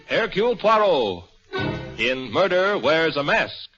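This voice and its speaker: A man's voice: a little high, big pitch swings, slow pace. A man announcing a radio show in a theatrical, dramatic 1940s style. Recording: dull vintage radio recording. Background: loud music.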